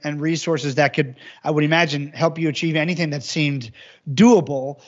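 A male podcast host with a somewhat raised pitch reads a guest introduction and then chats. He sounds enthusiastic and stumbles now and then, repeating words. The sound is dull and lo-fi. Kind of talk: reading a guest bio, then conversational questions.

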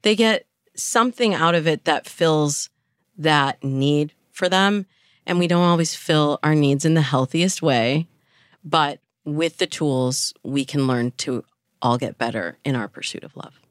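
A woman's voice: low voice, unhurried, no room sound, studio quality. An American woman speaking as a dating coach in a conversational, explanatory and emphatic way. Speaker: dating coach, podcast guest. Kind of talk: conversational expert advice.

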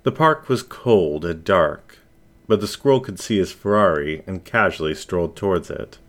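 A man's voice: low-pitched, expressive, moderate pace, a bit bright. A man narrating a scripted story as its reader.

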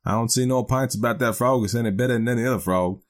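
A man voicing a sly stranger in a frontier storybook tale, speaking deliberately and mildly in folksy dialect. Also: mid-range voice, close to an everyday voice. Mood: deliberate, unimpressed. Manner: very deliberate, feigning mildness. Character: sly, deliberate male stranger in a mining camp.

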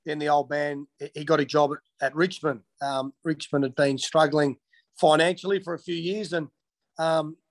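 An Australian man from Western Australia in his thirties, answering interview questions casually with many ums and restarts. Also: fairly high pitch. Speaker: interviewee, former footballer.

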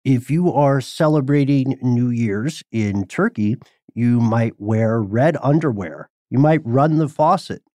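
A Southern American man with a mid-range voice co-hosts a chatty podcast, telling stories with lively energy. The recording is dry and close. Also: medium pitch, unhurried, dry recording. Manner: lively storyteller, acts out quotes. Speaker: podcast co-host. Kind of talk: casual podcast conversation.

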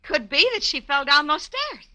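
A tough American showgirl in a vintage radio crime play. Her voice is high and piercingly bright, with lively swoops, and she is sassy, slangy and cool. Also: moderate pace, exaggerated voice. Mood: defensive, sharp. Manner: sassy, sharp-tongued, slangy. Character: tough, brassy blonde showgirl, cool and scheming. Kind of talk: 1940s radio detective drama acting. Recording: vintage 1940s radio broadcast.

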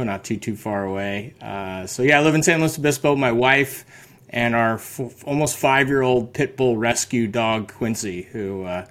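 Relaxed man in his forties. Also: lowish voice. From Colorado. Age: 40s. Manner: relaxed, many "uh" fillers, self-deprecating. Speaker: podcast guest, photographer. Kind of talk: casual interview chat.

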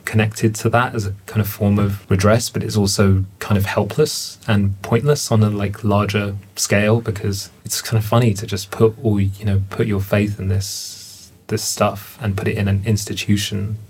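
A man's voice: on the low side, flat delivery, moderate pace, hardly any pauses, slightly rough. A man speaking as a panel guest, reflective and searching, with casual fillers. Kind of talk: panel discussion.

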